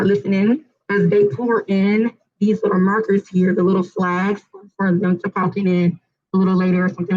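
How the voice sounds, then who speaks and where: A woman with a raspy, hoarse voice moderates a casual panel at a community event. She talks in an upbeat, chatty way and often pauses on fillers. Her voice sits at a middle pitch, and the recording sounds dull and lo-fi. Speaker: panel host and fitness trainer.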